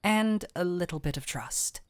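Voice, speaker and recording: Woman acting as fantasy town doctor, female, unhurried, lowish pitch, gentle yet stern, wry, intimate audio roleplay, very dry close sound. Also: animated, no room sound. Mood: coolly challenging. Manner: measured, coaxing, wryly stern. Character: gentle but firm small-town fantasy physician woman, calm, wry. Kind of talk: intimate scripted audio roleplay addressed to the listener.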